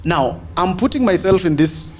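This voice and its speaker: A man with a Kenyan accent, a panel guest making a deliberate, rhetorical political argument. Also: sits high, lively intonation, a little hiss or hum. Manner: deliberate, rhetorical, addresses the host directly.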